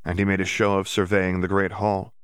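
A man with a lowish voice narrates a fantasy story in a steady, measured way. The recording is dry and close, with no room sound. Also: clean tone, dry recording. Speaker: audiobook narrator. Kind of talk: scripted audiobook narration.

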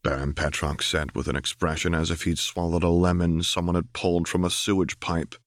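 A man's voice: low-pitched, level tone, brisk, no echo, clean studio sound. A man reading scripted fiction aloud as an audiobook narrator.

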